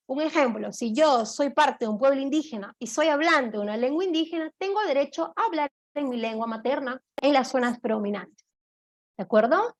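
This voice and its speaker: A woman giving an online law lecture, explaining step by step, often checking in with her listeners and pausing on "eh". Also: high-pitched. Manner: explanatory, checks in with "¿de acuerdo?", frequent "eh" fillers.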